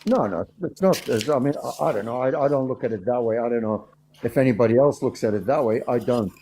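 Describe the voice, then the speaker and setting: A man's voice: medium pitch, fast talker, mellow, a little hiss or hum. A man in casual conversation on a livestream, explaining things in a rambling way and repeating himself. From Australia (resident). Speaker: livestream discussion participant. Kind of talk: casual chat. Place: livestream call.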